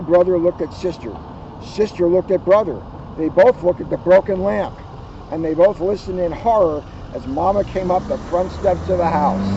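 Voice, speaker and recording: Male storybook reader, high register, playful emphatic delivery, slightly dull outdoor recording with vehicles behind. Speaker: police officer reading a children's book aloud. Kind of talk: read-aloud storytelling with joking asides. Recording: muffled, outdoors with vehicle noise. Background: outdoor ambience, passing cars.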